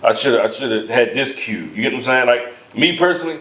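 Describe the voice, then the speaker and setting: A man's voice: mid-range voice, expressive, fast, fairly bright tone. A man, casually interviewing in loose, profane street slang as a podcast host. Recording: echoey room.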